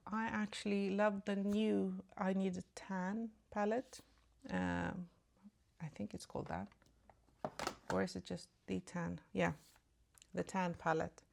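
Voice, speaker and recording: A woman from Sweden with a slightly Swedish-tinged British English accent, chatting casually through a makeup tutorial just after waking up, dropping to soft murmurs as she applies makeup. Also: on the low side, creaky, a little hiss or hum. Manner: chatty, apologetic asides, soft murmurs while applying makeup. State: just woke up. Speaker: beauty vlogger.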